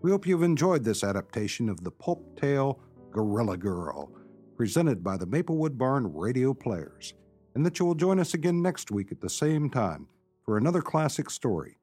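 A man's voice: mid-range voice, sing-song, normal speed, warm tone, husky. A man reading a scripted radio announcement in the role of show announcer. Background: music.

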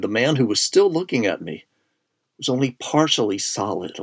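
A middle-aged man narrating a first-person ghost story in a measured, suspenseful way, with deliberate pauses and hushed phrases. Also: mid-range voice.

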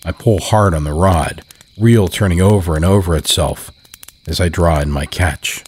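A man narrating scripted horror fiction as a storyteller, solemn and measured. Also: low-pitched, a little mellow, husky. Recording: faint night ambience with crickets.

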